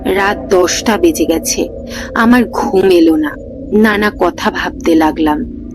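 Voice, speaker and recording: A woman's voice: lowish voice, takes it slowly. A woman narrating a scripted ghost story in a dramatic storytelling style. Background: music.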